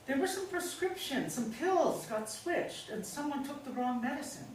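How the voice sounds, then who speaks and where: A woman's voice: sits high, expressive, moderate pace. A woman playing a manipulative middle-aged pharmacist's wife in a stage play reading, coaxing and insistent with false sweetness. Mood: grave, probing. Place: indoor staged reading, mic far off. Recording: very echoey room, distant mic, faint noise.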